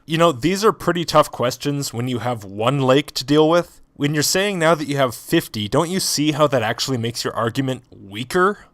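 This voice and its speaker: A skeptical, sarcastic scientist played by a man who argues point by point. His pitch moves a lot, and the sound is close and dry. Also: lively intonation, a bit bright, no room sound, close to an everyday voice. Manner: argumentative, rapid-fire rebuttals.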